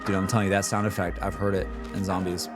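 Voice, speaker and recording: A man chatting casually as a streamer reacting to music, with an excitable, slangy, rambling way of talking. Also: low-pitched, quick pace. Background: music playing behind the voice.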